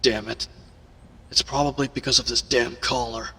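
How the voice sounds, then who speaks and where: Man playing a gruff, brooding captive werewolf hero. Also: medium pitch, fairly even intonation, moderate pace, sharp tone, big-room sound, a little hiss or hum, lightly acted. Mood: frustrated, dazed. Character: captive young male werewolf hero, defiant and wounded. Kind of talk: audio roleplay acting.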